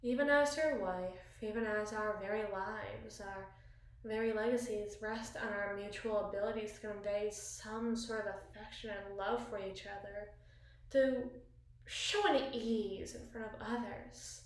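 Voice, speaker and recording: A woman playing a battle-scarred, commanding evil queen in an intimate ASMR roleplay, speaking formally and wistfully with deliberate, theatrical pauses. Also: medium pitch, fairly even intonation, a little hiss or hum, natural voice. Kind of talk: ASMR roleplay, slow dramatic monologue. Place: small bathroom, indoors. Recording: echoey tiled bathroom.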